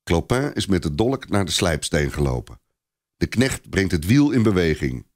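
A man's voice: sits low, big pitch swings, moderate pace, a little mellow. A man narrating a scripted story expressively, as a storyteller.

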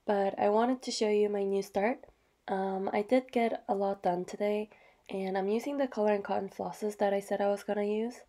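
Soft-voiced woman, slightly hoarse, with gravel. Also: medium pitch, steady tone, normal speed. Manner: soft, chatty, with fillers and small apologies. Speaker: vlogger. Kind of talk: casual show-and-tell narration.

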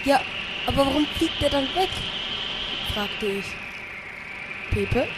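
A man's voice: very high-pitched, lively intonation, slow, heavy background noise. A young man narrating an amateur parody audio drama in a dramatic storytelling manner.

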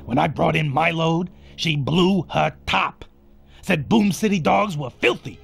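A gruff game henchman. His fairly high voice is extremely gravelly and unhurried, with faint music behind it. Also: exaggerated voice. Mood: gossipy. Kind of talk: video game cutscene acting.

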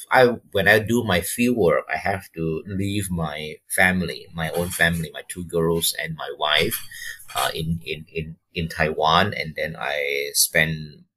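Deep-voiced malaysian guy in his 50s, halting, keeps repeating words. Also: steady tone, sharp tone. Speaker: guest, wildlife biologist. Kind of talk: podcast interview, personal story.

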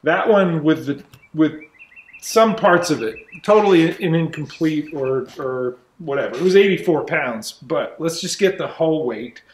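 Young male host, casual shop talk, thinks out loud, roomy garage sound. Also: on the high side, lively intonation, measured pace, slight background noise. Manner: thinking aloud, restarts often. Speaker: channel host. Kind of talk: casual chat in a workshop. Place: open garage workshop.

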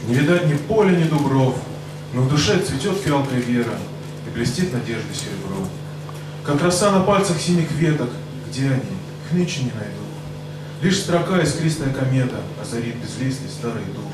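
A young man reading his own poem into a handheld microphone, in a slow, solemn declamation. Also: mid-range voice, animated. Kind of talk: poetry recitation. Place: stage of a rock cafe. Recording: very echoey club room, far mic, noise.

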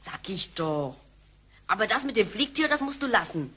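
A woman's voice: mid-range voice, big pitch swings, moderate pace, bright, forceful tone, breathy edge, exaggerated voice. A woman playing a cheeky, impatient granddaughter in a sci-fi radio drama, with a blunt, slangy manner. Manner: brash, blunt, exclamatory.

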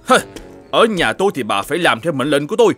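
A man playing a grumpy, fault-finding middle-aged shoemaker in an animated fairy tale, with a scolding, exclamatory manner. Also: a little high, fast talker, soft background music. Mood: scornful, bossy.

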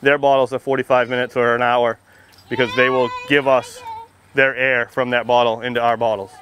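A man's voice: medium pitch, level tone, brisk, a bit bright, a little hiss or hum. An American man, a firefighter, giving an informal outdoor demonstration to young children in a projecting, folksy manner with simple questions. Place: outdoors, under a tent and beside a fire truck.

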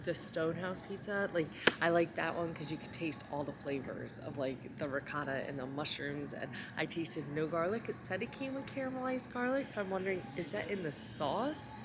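A woman's voice: low voice, a little fast, few pauses, very noisy. A woman chatting casually as a food vlogger, giving frank, descriptive opinions on what she tastes, thinking aloud as she goes. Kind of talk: casual chat while tasting food. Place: restaurant, public space. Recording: busy restaurant with loud crowd chatter.

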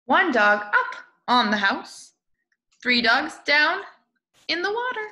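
A woman in her twenties reading a picture book aloud to young children in an expressive way, with drawn-out words. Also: sits high. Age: 20s. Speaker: storybook reader. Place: small room at home, indoors. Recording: echoey room.

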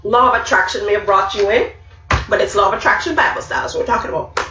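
A woman's voice: medium pitch, quick pace, bright, forceful tone. A middle-aged woman with a Jamaican accent gives a motivational Bible talk to a live group, emphatic and repeating key words for stress. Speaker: speaker. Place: small room indoors. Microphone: webcam at arm's length. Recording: roomy, distant, dull webcam sound.

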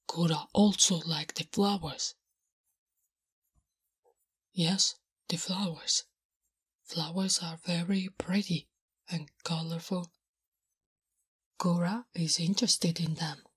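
Woman playing a big female orc, low-pitched, very breathy whisper, slow halting broken English, naive, blunt, smitten, ASMR roleplay. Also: steady tone. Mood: earnest, curious. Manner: halting broken English, speaks of herself in third person. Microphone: close-up ASMR microphone. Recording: close, intimate microphone.

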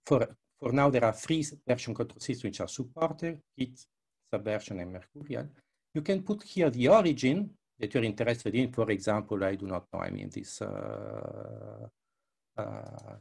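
An older man who is a non-native English speaker, giving a live demo presentation in a gravelly, husky voice, often repeating words. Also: mid-range voice, a little mellow. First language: not English. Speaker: researcher presenting his work. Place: indoors, on a video call. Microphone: headset.

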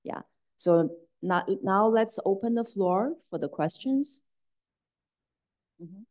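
A woman moderating an academic talk informally, with halting, hesitant phrasing. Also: medium pitch, lively intonation, darkish tone. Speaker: moderator, assistant professor. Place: university lecture room.

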